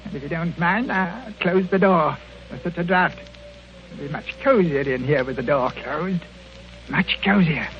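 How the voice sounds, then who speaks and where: A man's voice: fairly high pitch, measured pace, soft background music. An actor playing a miserly, irascible old man with blustering, indignant delivery in 1940s radio style. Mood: falsely cozy. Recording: muffled, hissy 1940 radio broadcast.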